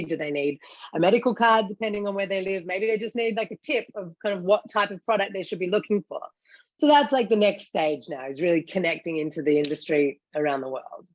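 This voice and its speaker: A woman's voice: fairly low pitch, no room sound, highs cut off. A woman in her thirties with an Australian accent, answering interview questions in an articulate, explanatory way. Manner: articulate, explanatory, quick to laugh. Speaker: CEO of a cannabis education site.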